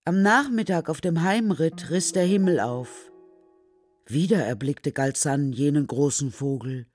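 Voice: medium pitch, a little slow, a little mellow, dry recording. Storytelling narrator over music. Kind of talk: scripted storytelling narration for children's radio drama.

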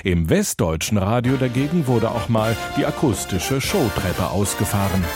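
A man's voice: on the low side, animated, moderate pace, over music. A man presenting a scripted radio documentary as its narrator.

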